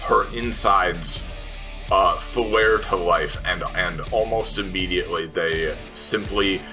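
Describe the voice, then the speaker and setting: A man playing a tabletop game, casually narrating his character's moves and thinking aloud haltingly. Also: deep voice, music in the background.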